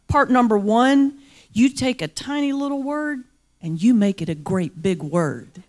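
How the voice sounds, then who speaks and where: A woman with a folksy Southern mountain accent delivers a playful keynote, coaxing responses from the crowd. Her voice sits a little high and bounces with humor. She talks like a pastor who also works comedy clubs. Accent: North Carolina mountains Southern. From North Carolina. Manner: lively, playful, folksy with audience call-and-response. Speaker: pastor and comedian, guest speaker. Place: large banquet hall before a crowd.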